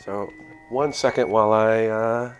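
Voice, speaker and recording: A man hosting a game livestream, talking casually to viewers in a halting way, thinking aloud as he goes. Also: fairly low pitch, steady tone. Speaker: game streamer, host. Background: game music.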